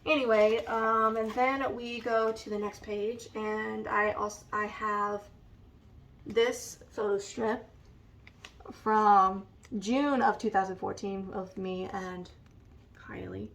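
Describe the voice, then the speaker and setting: A woman with a raspy, allergy-hoarse voice, slowly and hesitantly showing a scrapbook in a casual vlog. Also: medium pitch, steady tone, a bit bright, far from the mic. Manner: slow and hesitant, many ums. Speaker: vlogger. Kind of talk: casual show-and-tell vlog.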